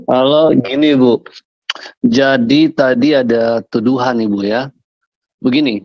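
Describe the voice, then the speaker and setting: Brief: male debater on a lo-fi video call, dry sound, mid pitch, patient questioning tone. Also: steady tone, slow pace, dry recording. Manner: patient, probing, addresses her as "Bu" often. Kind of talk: casual online debate. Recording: dull lo-fi call audio.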